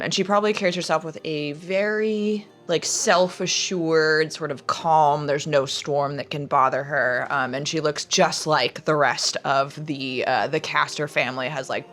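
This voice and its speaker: A woman with a very low voice plays a tabletop roleplaying game. She improvises casually and informally, with music running underneath. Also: fairly even intonation, measured pace, hardly any pauses, fairly bright tone. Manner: informal, peppered with "like".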